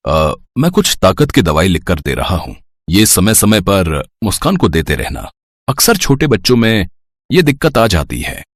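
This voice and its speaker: A man acts a calm, reassuring family-story character. His voice is low, with very animated intonation and a dry, close sound. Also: normal speed, dry recording, heavily acted. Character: calm, helpful men of a family tale, doctor and brother.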